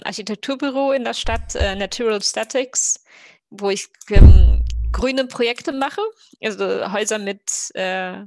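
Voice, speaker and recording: A woman's voice: mid-range voice, a little mellow, dry recording. Woman player in a tabletop game, dry sound. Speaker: role-playing game player. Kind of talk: casual introduction of a game character. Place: indoor room at home, online video call.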